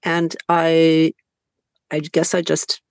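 A woman's voice: low voice, monotone, takes it slowly, a little mellow, smooth, no echo, clean studio sound. A middle-aged woman whose was Hungarian, telling stories as a podcast guest, chatty, laughing often, with many fillers. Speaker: guest author. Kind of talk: conversational storytelling.

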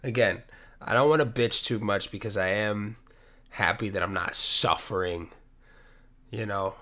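A man with a somewhat low voice rambles through a casual solo podcast from his apartment. He is sniffly and sick with a cold, and he talks loosely and profanely, with a self-deprecating tone. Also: measured pace, bright, forceful tone. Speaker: podcast host. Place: home apartment.

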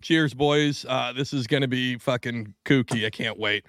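A man's voice: mid-range voice, moderate pace, a bit bright, no echo, clean studio sound. A man hosting a casual podcast, talking loosely with lots of swearing and laughter. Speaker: podcast host. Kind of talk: casual chat.

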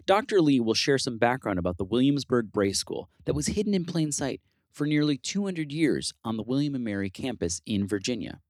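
A man's voice: mid-range voice, darkish tone, no echo, studio quality. A man hosting a preservation interview podcast, chatting loosely with fillers and restarts. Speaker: podcast host. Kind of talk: casual interview chat.

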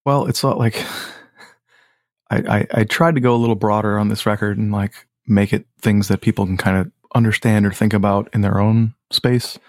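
A man with a low voice, a musician guest talking in a laid-back, rambling way with many fillers. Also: fairly even intonation, moderate pace, darkish tone, no echo, studio quality. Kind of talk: casual podcast chat.

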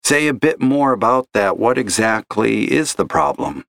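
A man's voice: medium pitch, lively intonation, moderate pace, creaky, a bit bright, dry recording. A man voicing a polite, chipper customer service agent who stays patient with a difficult caller. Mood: chipper, strained. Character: upbeat young male customer service agent. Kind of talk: audio story reading.

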